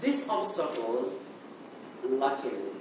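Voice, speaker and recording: A man from Morocco whose first language is Arabic, speaking English with a Moroccan accent in a hesitant conference talk, restarting often and filling pauses with "okay". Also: medium pitch, sing-song. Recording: echoey hall, mic far off, noisy.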